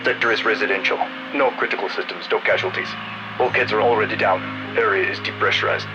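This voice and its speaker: Male sci-fi captain acted, slightly low voice, clipped and steady under pressure, loud music behind. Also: quick pace, dull audio, close to an everyday voice. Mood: terse, focused. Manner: clipped, military, matter-of-fact. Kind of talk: sci-fi audio drama radio dialogue.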